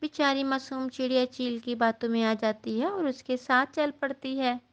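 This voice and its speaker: A woman's voice: high-pitched, steady tone, moderate pace, highs cut off. A woman narrating a scripted children's story as the storyteller.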